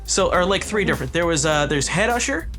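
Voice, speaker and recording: A young man with a slightly high voice tells a casual, profane story to friends. He acts out bits of remembered dialogue, and music plays faintly behind him. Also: expressive, fairly quick, a bit bright. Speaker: podcast storyteller. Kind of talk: casual anecdote telling among friends.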